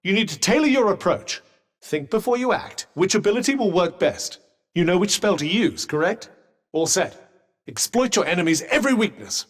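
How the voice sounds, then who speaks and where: An actor voicing a snooty, sardonic talking magical cuff in a video game, with a refined British accent and a dry, pompous manner. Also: male voice, high-pitched, sing-song, moderate pace. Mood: brisk advice. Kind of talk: game companion combat barks. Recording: long hall-like reverb.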